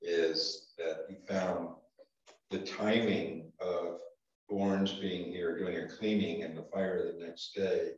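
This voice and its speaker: A man's voice: sits low, steady tone, takes it slowly. An older man with a Vermont accent chairing a small-town board meeting, hesitant with many ums and restarts. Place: town office meeting room. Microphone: far room camera. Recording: echoey room from far off, dull sound.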